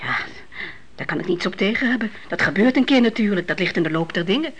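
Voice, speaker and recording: An actress as an older, devout widow and mother in a radio play. Her voice is husky and often drops to a hush. She speaks with solemn sorrow but stays stern and firm in what she believes. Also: medium pitch, expressive, fast talker, natural voice. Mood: solemn, concerned. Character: grieving, devout old widowed mother, stern and unyielding in faith.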